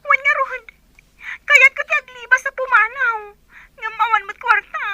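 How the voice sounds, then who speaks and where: A woman's voice: very high voice, moderate pace, pushed and bright, lo-fi sound, exaggerated voice. A woman playing a distressed, naive young woman in an Ilocano radio drama.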